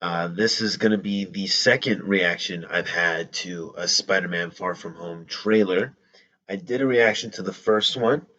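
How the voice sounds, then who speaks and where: A hoarse young man hosting a casual trailer reaction, breaking into excited rapid exclamations. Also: low-pitched, dull audio. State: hoarse from yelling.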